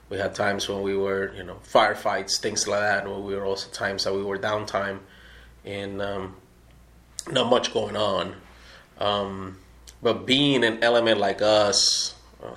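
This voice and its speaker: A middle-aged man from Puerto Rico, an army veteran telling his story in an oral history interview, speaking in a measured way with many pauses. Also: on the low side, a bit bright.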